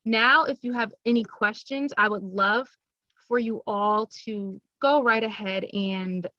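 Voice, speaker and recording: A woman's voice: mid-range voice, steady tone, measured pace, dry recording, lo-fi sound. A young woman giving an upbeat, teacherly presentation to schoolchildren, explaining things plainly. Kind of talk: recorded classroom presentation.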